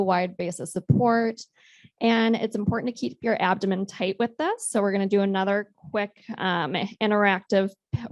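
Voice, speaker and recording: A woman's voice: mid-range voice, a little mellow, dry recording. A woman occupational therapist giving a practical presentation to a club, walking the audience through simple exercises in a friendly, instructive way. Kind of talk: health talk to a club.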